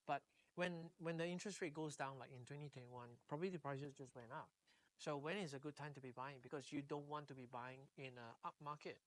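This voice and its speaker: A man's voice: on the high side, brisk, rough recording. A middle-aged man with a Singaporean accent, talking casually as an interview guest. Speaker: podcast guest, real estate agent.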